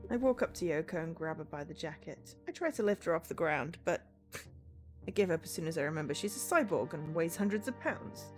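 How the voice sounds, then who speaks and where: A woman's voice: low voice, animated, fairly quick, soft background music. A woman streamer reading narration from a visual novel game aloud.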